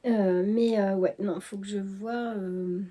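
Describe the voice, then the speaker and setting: A woman's voice: mid-range voice, steady tone, takes it slowly, dark tone. A woman chatting casually about her knitting to her viewers, stuffy from a head cold, talking hesitantly with many fillers and restarts. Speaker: knitting vlogger. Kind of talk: casual chat to camera.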